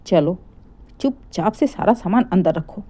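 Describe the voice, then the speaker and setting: A woman's voice: on the low side, lively intonation, measured pace, dark tone. A woman voicing a proud older mother-in-law in a family story, bossy, defensive and scolding. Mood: flustered scolding.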